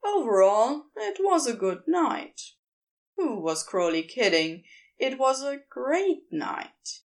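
A woman reading scripted fiction as a narrator, in an expressive storytelling style. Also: sits high, slow pace. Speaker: podfic narrator.

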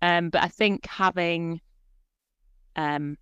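A woman's voice: fairly low pitch, steady tone, slow pace, fairly bright tone, clear, resonant tone, no echo. A woman in her forties, a podcast guest talking about her work in a chatty, reflective way, with frequent fillers. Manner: chatty, reflective, many fillers and small laughs. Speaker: guest, proofreader and editor. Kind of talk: conversational podcast interview.

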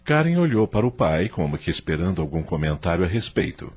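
A man's voice: low-pitched, very animated, brisk, a little mellow, husky. A man with a Brazilian accent giving scripted narration for a radio drama.

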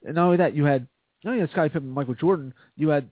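A man co-hosting a casual sports radio chat, talking in excited bursts and repeating his phrases. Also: fairly high pitch, rapid-fire, no room sound. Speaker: radio co-host. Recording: dry phone-line radio sound.

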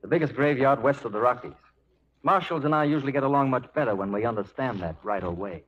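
A man's voice: mid-range voice, animated, quick pace, lightly acted. An actor playing a cool, commanding, menacing gambler gunman with clipped, sardonic formality in a 1940s Western radio drama. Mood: sardonic, threatening. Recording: muffled, noisy 1940s radio recording.

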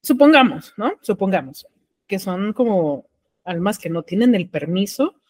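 A woman's voice: mid-range voice, animated, unhurried, darkish tone, no room sound. Slangy young Mexican woman, dry close mic. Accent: Mexican Spanish. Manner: slangy, hesitant, thinks aloud with many fillers. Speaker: podcast co-host. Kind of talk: casual chat.

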